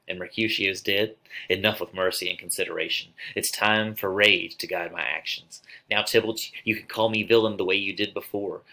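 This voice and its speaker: A man's voice: low-pitched, bright, forceful tone, rough recording. A man playing an impassioned young nobleman, declamatory and intense, in a dramatic play reading. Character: impassioned young nobleman, lovesick turned vengeful.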